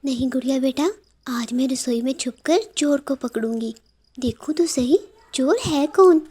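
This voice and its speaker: A woman's voice: high-pitched, measured pace, warm tone, slightly rough, voice pushed up. A woman voicing a caring but firm mother sparrow in a cartoon story. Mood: determined.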